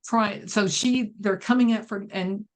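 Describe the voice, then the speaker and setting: A woman's voice: medium pitch, fairly even intonation, unhurried. A woman speaking casually as a guest author, discussing her own writing.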